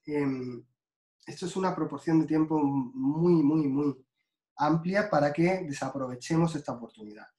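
Man from Spain, guest lecturer, slightly high, speaks slowly with fillers; echoey room over a video call. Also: a little mellow. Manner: slow and deliberate, many fillers and restarts.

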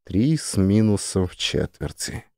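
Male narrator for satirical audiobook, relaxed low tone, close dry sound. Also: unhurried, vocal fry, dry recording. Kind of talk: scripted satirical story narration.

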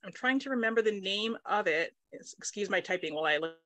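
Bright-voiced woman on a video call. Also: medium pitch, animated, fast talker. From Manitoba, Canada. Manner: thinks aloud with many fillers and restarts. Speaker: author guest. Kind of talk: casual conversation on a video call. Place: home study with bookshelves. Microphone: laptop webcam mic on video call.

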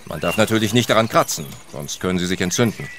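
A man's voice: deep voice, expressive, quick pace, over music. An actor playing a knowledgeable, practical male doctor who explains things reassuringly in an audio play. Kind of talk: audio drama acting.

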